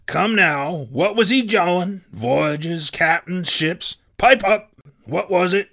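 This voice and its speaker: A man's voice: high voice, slow, gravelly, sharp tone, pitched above the performer's own voice, heavily acted. A man voicing a cunning, hearty one-legged seafaring tavern landlord in an audiobook story, with drawling, emphatic sailor speech. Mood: stern, indignant.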